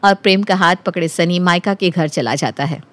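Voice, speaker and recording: A woman's voice: on the low side, normal speed, a bit bright, no echo. A woman narrating a scripted story as its storyteller.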